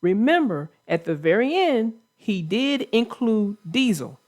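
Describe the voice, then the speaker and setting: A woman with a fairly high voice and a slow, deliberate pace. She hosts a chat about children's stories for young readers. Her delivery is earnest and emphatic, like someone teaching a lesson. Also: sing-song, a little mellow. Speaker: host and children's book narrator. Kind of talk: casual discussion of a children's story for young listeners.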